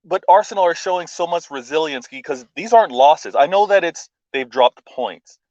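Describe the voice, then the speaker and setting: A man talking football as a stream guest, enthusiastic and casual, often pausing with "um". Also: a little high, expressive, a little mellow, dry recording, lo-fi sound. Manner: enthusiastic, fills pauses with "um". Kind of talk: casual chat.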